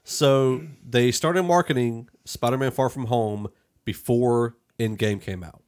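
A man's voice: medium pitch, unhurried, darkish tone. Man chatting casually as a podcast host. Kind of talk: casual movie review discussion.

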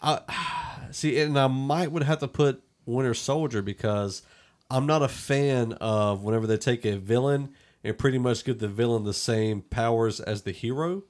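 A man's voice: mid-range voice, moderate pace, a little mellow. A man hosting a casual film discussion podcast, talking in a conversational, opinionated way. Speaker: podcast host.

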